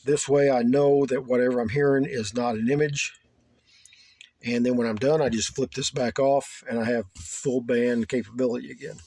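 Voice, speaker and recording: Halting, plainspoken man. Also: medium pitch, darkish tone, no echo. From United States. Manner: halting, plainspoken, repeats himself. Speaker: radio hobbyist giving a tour of his setup. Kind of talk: casual unscripted show-and-tell. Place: small home radio room.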